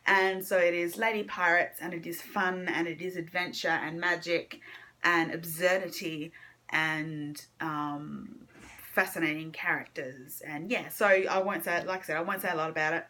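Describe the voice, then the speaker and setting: A woman's voice: fairly low pitch, steady tone, moderate pace, bright, forceful tone. A woman chatting casually as a book reviewer, enthusiastic but hesitant, rambling as she goes. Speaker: book reviewer on own video channel. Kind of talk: casual chat to camera, monthly reading wrap-up.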